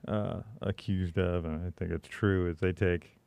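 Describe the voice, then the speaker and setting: A man co-hosting a talk show, speaking haltingly with many fillers and chuckles. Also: low-pitched, fairly even intonation, gravelly, a little mellow, clean tone, no room sound. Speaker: co-host. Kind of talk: casual talk show chat.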